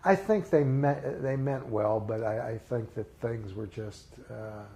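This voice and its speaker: An older man reminiscing in a casual interview, speaking haltingly with many fillers and restarts. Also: medium pitch, mellow. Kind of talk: recalled personal memories in a casual interview.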